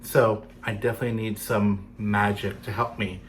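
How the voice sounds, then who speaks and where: A man's voice: lowish voice, level tone, normal speed. Playful male storytime reader, some background noise. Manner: playful, addresses young listeners directly. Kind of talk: children's picture-book reading with asides.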